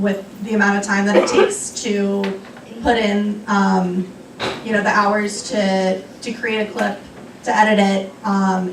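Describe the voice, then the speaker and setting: A woman's voice: mid-range voice, a bit bright, distant mic, slight background noise. Young woman speaking in an echoey room. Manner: thoughtful, many ums and restarts. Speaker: guest speaker on a young activists' group. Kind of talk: answering audience questions at a luncheon. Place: restaurant meeting room. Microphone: room recording from a distance.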